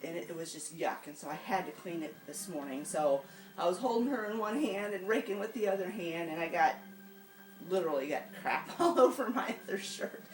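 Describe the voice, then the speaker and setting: A woman vlogging casually at home with a raspy, hoarse voice from allergies, chatty and rambling with plenty of ums. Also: lowish voice, expressive, fairly quick, roomy sound, far from the mic, soft background music, poor audio. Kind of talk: casual rambling vlog chat. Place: home and outdoor animal pens. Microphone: handheld camera, self-filmed.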